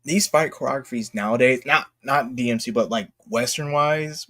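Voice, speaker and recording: A man of average pitch commentates live in a casual, rambling way. He halts and repeats his phrases, leaning hard on words when exasperated, like a streamer thinking aloud. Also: moderate pace, a bit bright. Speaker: streamer reacting to videos.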